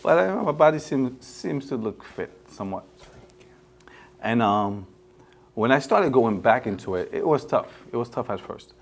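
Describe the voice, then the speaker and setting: A man in his forties with a mixed Caribbean accent, a guest talking earnestly with many halting fillers. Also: medium pitch, lively intonation, gravelly. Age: 40s. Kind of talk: casual chat.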